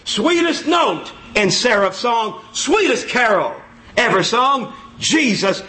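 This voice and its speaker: A man preaching an informal sermon in a church, folksy and self-mocking, repeating his phrases and exclaiming loudly. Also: extremely high pitch, very animated, takes it slowly.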